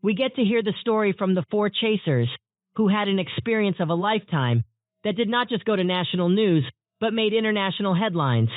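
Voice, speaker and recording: A man's voice: high-pitched, expressive, a little fast, no echo. A man playing a polite interview host in a scripted broadcast drama about storm chasers. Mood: polite, formal. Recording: muffled narrowband phone-like audio.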